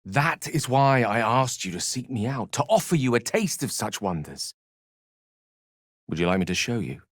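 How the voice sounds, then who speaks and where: A man's voice: medium pitch, sing-song, normal speed, no room sound, clean studio sound. A man playing an eloquent, witty and self-assured wizard in a video game, with articulate, florid, wry delivery. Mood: tender. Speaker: game character voice actor. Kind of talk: theatrical video game character dialogue.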